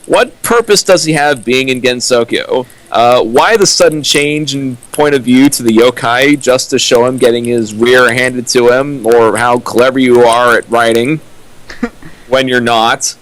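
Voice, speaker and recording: A man's voice: mid-range voice, unhurried, fairly bright tone, no room sound, poor audio. A young man reading character lines from a fanfic and mocking it with dry, pointed questions. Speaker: co-riffer. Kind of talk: comedic dramatic reading and riffing.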